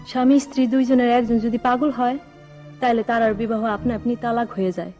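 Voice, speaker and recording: A woman's voice: on the high side, steady tone, fairly quick, warm tone, lightly acted. An actress playing a patient, firm village woman in a comic drama, with a rural Bangladeshi accent. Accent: rural Bangladeshi Bengali. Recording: dull soundtrack with faint music.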